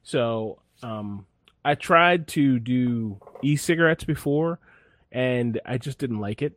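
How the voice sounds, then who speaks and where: A man's voice: medium pitch, expressive, takes it slowly, fairly bright tone. A man chatting casually on a podcast, telling a rambling, laid-back story full of fillers and restarts. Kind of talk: casual chat.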